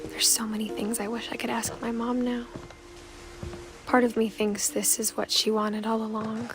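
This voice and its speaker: A young actress playing a wistful, introspective woman narrating her return to the family home in a game, quietly and with understatement. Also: on the high side, monotone, moderate pace, close to an everyday voice. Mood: reflective, subdued. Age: young adult. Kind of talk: reflective scripted game narration. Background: music.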